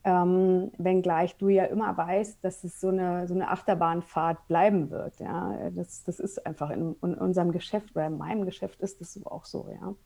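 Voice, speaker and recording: A woman's voice: lowish voice, fairly even intonation, moderate pace, creaky, hardly any pauses, warm tone. A woman in her forties from Berlin, telling her story openly as a podcast guest, with many fillers. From Berlin, Germany. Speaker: guest, photographer. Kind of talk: casual interview.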